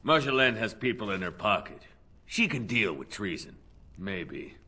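A gruff, cynical rogue in a video game. His voice sits fairly low with a sharp, forceful edge, and he talks in terse, dry, sardonic remarks. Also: sing-song, normal speed, exaggerated voice. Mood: cynical, resigned.

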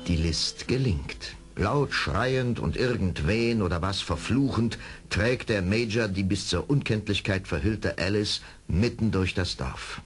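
A man narrating a radio play as a dramatic storyteller, with a husky voice. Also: lowish voice, a little slow, soft background music.

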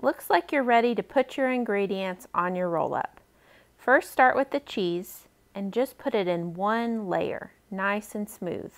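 A woman in her thirties hosting a friendly step-by-step cooking demonstration for children, speaking in simple, encouraging instructions. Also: mid-range voice. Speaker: library cooking program host for kids.

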